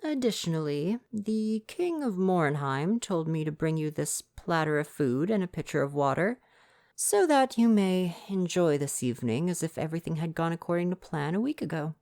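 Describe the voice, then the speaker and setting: A man's voice: on the high side, animated, normal speed, gravelly, darkish tone, no echo, studio quality. A man playing a prim, devoted royal butler with formal, fretful diction in an ASMR roleplay. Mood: formal, dry.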